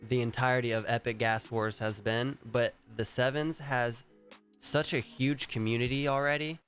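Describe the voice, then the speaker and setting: A man's voice: mid-range voice, fairly bright tone, clear, resonant tone. A man with a stuffy, congested voice from a cold, casually talking through projects on his screen, rambling and thinking aloud. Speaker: crypto vlogger. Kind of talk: casual screen-share commentary.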